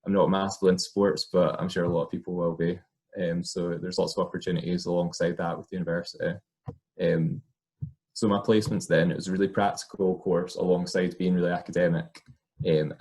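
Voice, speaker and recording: A young man with a Scottish accent talks about his work in a relaxed, halting way. His voice sits low and stays fairly flat, with frequent ums and uhs. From Scotland. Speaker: occupational therapist. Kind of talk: informal talk to camera.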